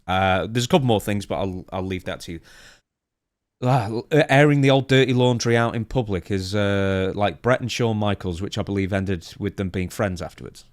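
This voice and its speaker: A man with a fairly low voice co-hosts a wrestling podcast. He reads through news notes and comments on them conversationally. The sound is dry, with hardly any room in it. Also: normal speed, no room sound. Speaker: podcast co-host. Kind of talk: reading out a wrestling news timeline and discussing it.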